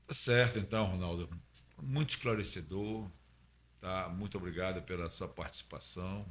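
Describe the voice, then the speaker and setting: A man's voice: sits low, normal speed, fairly bright tone. A man hosting a podcast interview, affirming and summing up what his guest says. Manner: affirming, thanks and sums up the guest. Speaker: podcast host.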